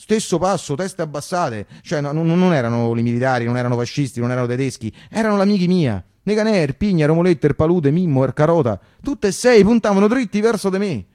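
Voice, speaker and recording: Fast-talking Roman storyteller, played by a man. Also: fairly high pitch, expressive, a little mellow, lightly acted. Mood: vivid recollection. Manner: emphatic, repetitive, conversational. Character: Roman working-class man recalling his wartime boyhood, naive, animated. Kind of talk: theatrical radio monologue, colloquial storytelling.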